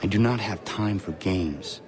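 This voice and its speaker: An actor playing a stern, earnest young warrior in a video game, speaking formally and tersely. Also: male voice, low-pitched, unhurried, crowd noise. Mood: determined. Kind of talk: video game cutscene acting.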